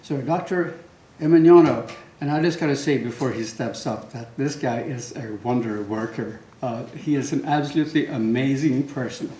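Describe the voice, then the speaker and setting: An older man with a Swedish accent, opening a conference with relaxed, joking remarks and many fillers. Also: medium pitch, moderate pace. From Sweden. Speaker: conference host. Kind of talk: welcoming remarks. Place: large lecture hall. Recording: echoey hall, faint background hum.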